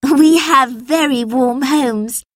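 A woman's voice: high voice, slow pace, dry recording, studio quality, voice pushed up. A woman voicing a curious, uneasy young girl in a storybook reading.

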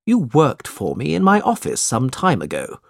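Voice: medium pitch, wildly swooping pitch, normal speed, vocal fry, dry recording, clean studio sound, exaggerated voice. A narrator voicing a wicked, hard-hearted rich uncle in a storybook, stern and emphatic. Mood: cold, angry.

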